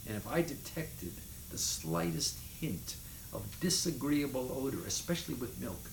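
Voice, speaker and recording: An echoey room with faint noise surrounds a man reading memoir prose aloud. His voice sits at a medium pitch, and he delivers the lines with deliberate emphasis, stressing names and items as a storyteller would. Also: very animated, creaky. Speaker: reader of a memoir chapter. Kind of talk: expressive live reading of humorous memoir prose. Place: indoors in a city apartment.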